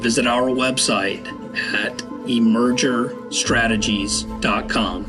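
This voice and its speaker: This man has a middle-pitched voice and reads a scripted advertisement. He sounds calm, measured, and persuasive, and a music bed plays clearly under him. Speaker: ad narrator. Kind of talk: scripted ad read.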